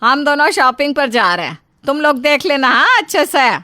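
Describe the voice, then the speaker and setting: A woman voicing a vain, bossy middle-aged mother-in-law, loud and imperious, in a storybook tale. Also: high voice, big pitch swings, moderate pace, no room sound, clean studio sound, voice pushed up, full character voice. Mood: breezy ordering. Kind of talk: storybook character acting.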